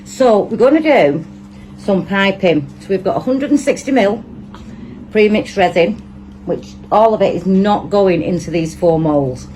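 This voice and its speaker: A woman's voice: mid-range voice, expressive, unhurried, darkish tone, slight background noise, low-quality recording. A woman with a Manchester accent, chatting and thinking aloud as she demonstrates a resin craft project at her table. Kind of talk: casual hands-on tutorial.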